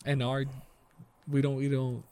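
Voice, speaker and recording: A man co-hosting a podcast in casual chat, excitable and quick to laugh as he runs the tech segment. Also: medium pitch, clear, resonant tone.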